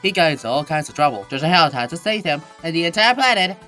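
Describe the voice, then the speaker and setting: A woman's voice: low voice, lively intonation, fast, bright, forceful tone. A woman reading scripted storybook narration as the narrator. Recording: music behind the voice.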